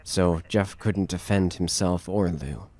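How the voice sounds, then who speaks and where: A man's voice: low voice, slow, a little mellow. A man reading scripted narration for a horror audio drama.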